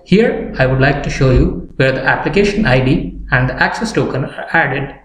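A young man presenting a software tutorial in a scripted narration style. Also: mid-range voice, moderate pace. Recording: roomy echo with background noise, clicks.

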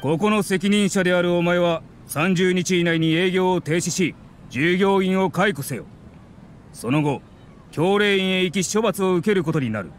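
男性が演じる冷たく高圧的な役人. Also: male voice, sits high, normal speed, a little hiss or hum, close to an everyday voice. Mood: commanding. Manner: curt, stern, condescending. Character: cold, officious male academy official in his thirties.

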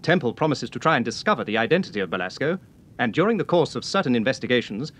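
A man's voice: medium pitch, big pitch swings, quick pace, a bit bright. A British man reading scripted narration as an old-time radio announcer. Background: brass music.

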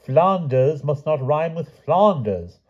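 A man's voice: fairly high pitch, lively intonation, slow, a little mellow, breathy edge, pitched above the performer's own voice, full character voice. A man voicing an exacting, exasperated foreign knight who tutors poetry in formal, stilted phrases with dropped articles, in a storybook reading. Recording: dull, muffled lo-fi audio.

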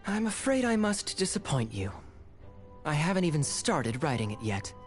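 An actor playing a wry young cavalry captain in a video game, smooth and polished in manner. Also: male voice, on the high side, very animated, normal speed, music in the background. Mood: suave, wry.

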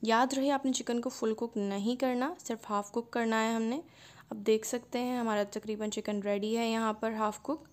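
A woman, hosting a cooking channel and calmly narrating a recipe step by step. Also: fairly high pitch, moderate pace. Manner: calm step-by-step instruction.

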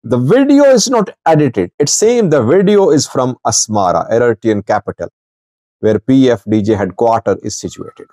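Male news commentator, middle-aged. Soft-edged tone, halting short phrases, fairly dry close clip-on sound. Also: mid-range voice, lively intonation, no room sound. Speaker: news commentator, channel host. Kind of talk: informal political news commentary to camera. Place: small indoor office with wood-panelled wall. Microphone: small clip-on lavalier at chest.

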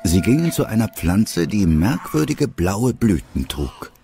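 Low-voiced man narrating an audio drama. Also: very animated, unhurried, gravelly, warm tone, a little hiss or hum. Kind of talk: scripted storybook narration.

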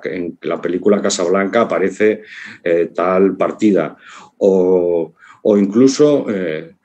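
A man in his fifties with an accent from Spain, giving an informal conference talk over a video call in a reflective, halting way, often checking in with short tags. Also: low-pitched, mellow. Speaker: congress speaker, writer and philosopher. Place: living room at home, on a video call.

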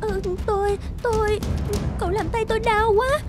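A devoted young daughter of a noble house in a cartoon. Her voice is extremely high, gentle and earnest. Loud music runs behind her. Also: fairly even intonation, moderate pace. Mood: pleading. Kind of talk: cartoon fairy tale dubbing.

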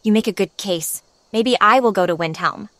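A woman's voice: medium pitch, moderate pace, fairly bright tone, no echo, natural voice. A woman's synthetic voice playing a brash, blunt, sassy, foul-mouthed adventurer in a fantasy game. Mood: curious. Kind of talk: AI-voiced game character dialogue.

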